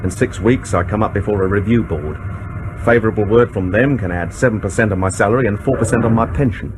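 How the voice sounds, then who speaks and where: A man acting as a cynical secret agent in a radio play. His voice is on the low side, dry and sardonic, with a grumbling, uneasy edge. Faint noise sits behind him. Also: fast talker, natural voice. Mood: resigned, sardonic. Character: cynical, nervy male British intelligence agent.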